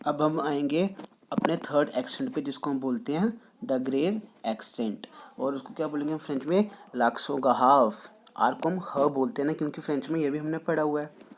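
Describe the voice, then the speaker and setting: A man's voice: fairly high pitch, a little hiss or hum. Patient man teaching French. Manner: patient, repeats each point, reads English rules slowly. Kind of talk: explaining a language lesson, mixing in English.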